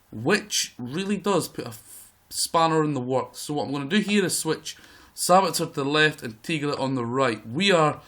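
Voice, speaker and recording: Man, croaky voice, fairly high pitch, excitable live game commentary, play-by-play. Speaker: gaming video host. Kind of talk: live commentary over a football management game.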